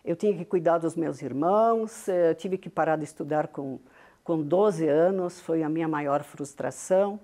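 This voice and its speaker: A woman's voice: low voice, moderate pace, mellow. An older woman from southern Brazil, whose first language was Venetian Italian, speaking with a heavy accent and telling her story as a guest on an interview show with frequent tag questions. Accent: Italian-Venetian-influenced Brazilian Portuguese from Rio Grande do Sul. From southern Brazil (Rio Grande do Sul). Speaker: guest, business founder.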